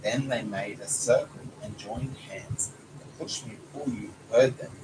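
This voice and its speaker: A man's voice: on the low side, measured pace. Man reading a storybook aloud, noisy background. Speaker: father reading aloud to his child. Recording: noisy home recording, clear background noise.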